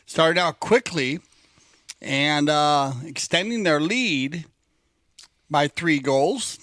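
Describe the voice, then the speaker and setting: A man's voice: on the high side, extremely slow, a bit bright, dry recording, studio quality. A man giving upbeat, conversational color commentary on a polo match.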